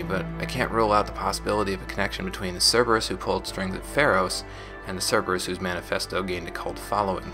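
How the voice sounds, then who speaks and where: Guy playing ex gang member doing an audio diary, deep voice, reflective n measured, music behind it. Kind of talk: scripted in-character audio diary narration. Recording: music bed under the voice.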